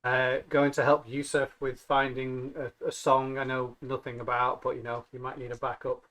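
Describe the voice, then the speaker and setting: A man's voice: mid-range voice, completely flat delivery, normal speed, fairly bright tone. A man chatting casually as a player in a tabletop roleplaying game. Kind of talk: casual chat.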